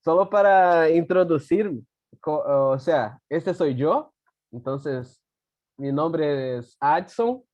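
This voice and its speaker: A man from Brazil, a native Portuguese speaker with a Brazilian accent, giving a talk over a video call, often pausing and correcting himself as he mixes in Portuguese. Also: fairly high pitch, animated, darkish tone, dry recording. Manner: halting, self-correcting, mixes Spanish and Portuguese. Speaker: guest speaker. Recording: dull, dry video call audio.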